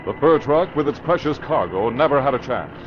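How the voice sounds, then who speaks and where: A man's voice: mid-range voice, lively intonation, fairly quick. Dramatic old-time radio announcer, man. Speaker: announcer and narrator. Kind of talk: scripted radio narration. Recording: muffled old radio broadcast with hiss.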